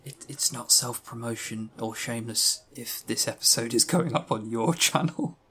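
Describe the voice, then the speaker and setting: A man with a mid-pitched voice talks casually as a podcast co-host. His delivery is unscripted and wry, with a self-deprecating streak. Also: moderate pace, pushed and bright, breathy edge. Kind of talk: casual unscripted podcast chat about games.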